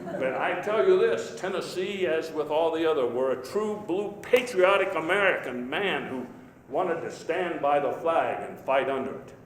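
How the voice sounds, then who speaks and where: Man acting as Theodore Roosevelt, high-pitched emphatic orator. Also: natural voice. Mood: stirring, patriotic. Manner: emphatic, folksy, halting with rhetorical pauses. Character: Theodore Roosevelt, vigorous patriotic elder statesman giving a speech. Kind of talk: live historical reenactment speech, oratorical. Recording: echoey live hall, faint background noise.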